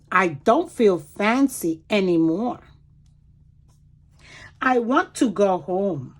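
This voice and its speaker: Lady teacher doing a kids read aloud, slow n expressive, stops to explain stuff. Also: medium pitch. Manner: expressive, deliberate, pausing to point out words and pictures. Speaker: teacher reading picture books aloud for children. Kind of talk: animated children's read-aloud with commentary asides.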